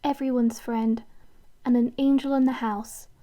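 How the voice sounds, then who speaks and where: A woman's voice: fairly high pitch, little pitch movement, unhurried, mellow. A woman narrating a scripted literary story for an audio drama.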